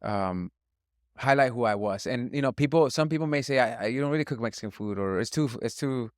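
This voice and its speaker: A man from Northern California speaking as a chef in a casual interview, in an earnest, reflective and halting way. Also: medium pitch, no echo, studio quality. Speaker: chef and restaurant guest.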